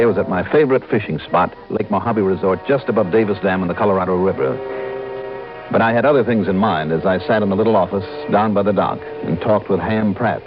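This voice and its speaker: An actor playing a brisk, shrewd insurance investigator in an old American radio drama, narrating his own case in a clipped, wry way. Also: on the low side, natural voice. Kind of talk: mid-century American radio detective acting, first-person narration. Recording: muffled vintage radio recording, organ music.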